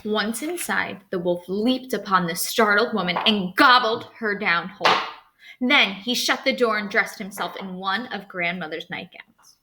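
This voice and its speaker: A woman teacher reading a storybook aloud to students in an expressive, instructive way. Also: medium pitch, unhurried, background noise.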